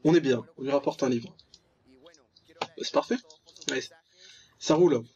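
A man's voice: mid-range voice, unhurried, mellow. A man hosting a let's play, commenting ironically and reading game text aloud with lots of interjections. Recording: dull lo-fi mic with music behind. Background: game music.

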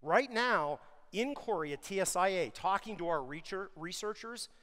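Man, middle-aged, keynote speaker, energetic, emphatic, large stage, lingering hall echo. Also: high voice. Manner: energetic, emphatic, speeds up and slows down for stress. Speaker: keynote speaker for an industry association. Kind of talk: conference keynote presentation. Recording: long hall reverb of a big venue.

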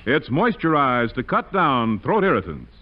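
A man's voice: medium pitch, very animated, unhurried, noisy background. A man working as a commercial announcer, reading an emphatic 1940s radio advertisement. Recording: muffled 1940s radio recording.

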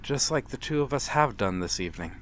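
A man playing a courteous, melancholy vampire in a dramatic ASMR roleplay, speaking formally and with measured pauses. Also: mid-range voice, lively intonation, a bit bright, close to an everyday voice. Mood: gentle, reassuring. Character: courteous, melancholy male vampire, lonely and mysterious. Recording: clear background ambient noise. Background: night harbor ambience.

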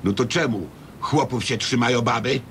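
An actor playing a gruff, mocking rustic husband in a radio comedy serial, folksy and blustering, with an eastern Polish Podlasie dialect. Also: male voice, moderate pace, a bit bright, natural voice. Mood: argumentative. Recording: faint noise, low rumble.

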